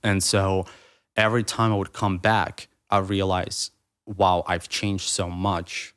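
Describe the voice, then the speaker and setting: Deep-voiced man, slight foreign lilt in English. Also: little pitch movement, slow, a bit bright, smooth. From Ukraine. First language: Russian. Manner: rambling, restarts mid-thought, many fillers. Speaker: podcast guest, writer. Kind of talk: reflective conversational interview.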